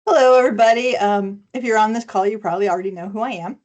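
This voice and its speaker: Friendly woman staffer on a video call, mid pitch, jokey. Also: fast, a little mellow. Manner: friendly and joking. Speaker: program specialist.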